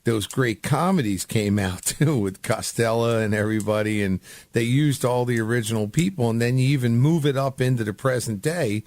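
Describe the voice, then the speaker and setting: A man's voice: medium pitch, moderate pace, a little mellow, no echo. A man hosting a casual radio interview, chatting in an informal, rambling way. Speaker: radio show host.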